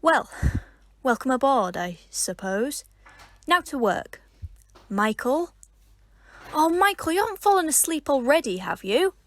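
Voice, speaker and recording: An actress playing a prim, easily flustered club organiser in an audio drama, speaking in a brisk, clipped, humourless way. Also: female voice, high voice, big pitch swings, fairly bright tone, dry recording, heavily acted. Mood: brisk, exasperated.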